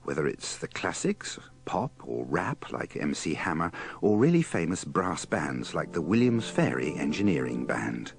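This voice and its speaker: A man's voice: extremely low pitch, sing-song, moderate pace, vocal fry. A man presenting a compilation in scripted, humorous narration with wry, dry asides.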